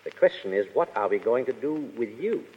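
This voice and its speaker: An actor playing a stern, menacing Foreign Legion adjutant in a 1930s radio drama, speaking in a clipped, formal, authoritarian way. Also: male voice, mid-range voice, very animated, fairly quick, breathy edge. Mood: coldly formal. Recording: muffled 1930s radio broadcast, hall reverb.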